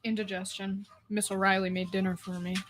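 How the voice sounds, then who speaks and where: A woman's voice: medium pitch, level tone, a little fast, slight background noise, close to an everyday voice. A woman playing a wry, wisecracking male musician neighbour in an old-time radio comedy style. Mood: wry, grumbling.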